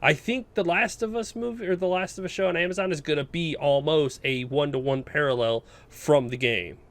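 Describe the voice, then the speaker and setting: A man's voice: a little high, brisk, fairly bright tone. A man hosting a podcast in casual, opinionated chat, making his points bluntly and with emphasis. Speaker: podcast host.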